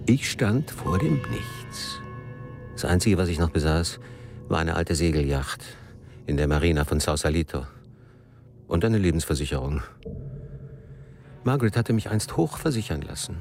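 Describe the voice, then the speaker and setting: Low-voiced, world-weary aging lover. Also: male voice, lively intonation, moderate pace, gravelly, pauses often, music in the background. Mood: somber, reflective. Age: middle-aged. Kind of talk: German radio drama acting, dialogue and monologue.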